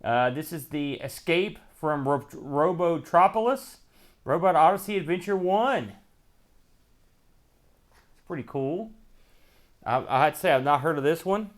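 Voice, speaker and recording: A man's voice: a little high, lively intonation, unhurried, long pauses. A man hosting a retro computer show, giving casual, rambling unboxing commentary and half talking to himself as he handles items.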